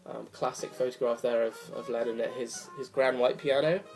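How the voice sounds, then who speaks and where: A young man talks in a relaxed, wandering way, full of ums and restarts. His voice is slightly rough from a cold. Quiet jazz plays in the background. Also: medium pitch, normal speed. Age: young adult. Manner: rambling, apologetic, many fillers. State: hoarse from a cold. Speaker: vinyl collector vlogger. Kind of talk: casual chat to camera. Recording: faint music behind the voice. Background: jazz record playing softly.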